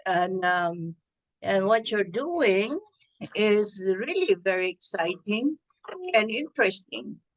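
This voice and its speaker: A woman hosting a community talk show interview, halting with many fillers. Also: medium pitch, animated, poor audio. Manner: halting, many ums and uhs, chatty with laughs. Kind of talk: casual interview.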